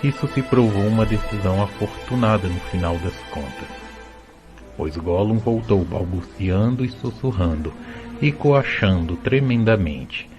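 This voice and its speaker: Low-pitched Brazilian male storyteller. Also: animated, unhurried, music in the background. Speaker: narrator. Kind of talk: scripted storybook narration for audio drama.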